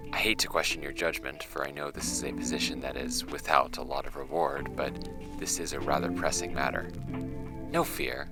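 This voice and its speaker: Man reading as a prim student, very deep voice. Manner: formal, prim, overly polite. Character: earnest, self-important teenage high school student, female letter writer. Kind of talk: dramatic reading of a letter. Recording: music bed behind the voice.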